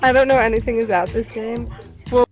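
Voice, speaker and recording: A woman's voice: fairly high pitch, fairly even intonation, fairly quick, soft background music. A woman giving casual, playful commentary on a game and reading its lines aloud.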